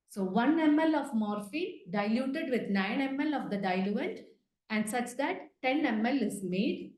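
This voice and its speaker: A woman's voice: a little high, a little mellow. A woman giving an interactive medical lecture online, prompting her learners with questions. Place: indoors, virtual class over video call. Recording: roomy, echoey online call audio.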